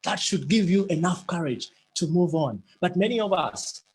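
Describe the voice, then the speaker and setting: A man's voice: sits high, expressive, normal speed, breathy edge. A man giving a motivational talk, earnest and emphatic, who stammers and repeats words now and then. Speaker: motivational speaker.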